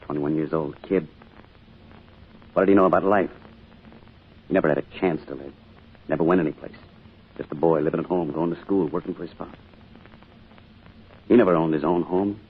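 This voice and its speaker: An actor playing a grieving, withdrawn middle-aged father in a radio drama, speaking in a weary, halting way. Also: rumbling low, steady tone, husky, full character voice. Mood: bitter, grieving. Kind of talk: old-time radio drama acting. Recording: muffled, noisy old radio recording.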